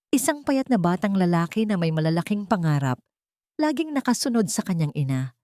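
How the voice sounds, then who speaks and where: A woman with a slightly low voice narrates a dramatic, sentimental tale. Her delivery is scripted, measured and earnest. The sound is dry, with very little room in it. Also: warm tone, no room sound. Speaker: audio story narrator.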